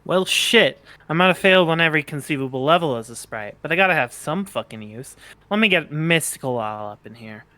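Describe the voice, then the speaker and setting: Guy doing a chill ironic bird spirit dude for a fanfic read, kinda high sharp voice. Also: lively intonation, fairly quick, no room sound. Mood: encouraging. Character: laid-back, wisecracking young male bird-like spirit guide. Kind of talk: amateur fan fiction voice acting.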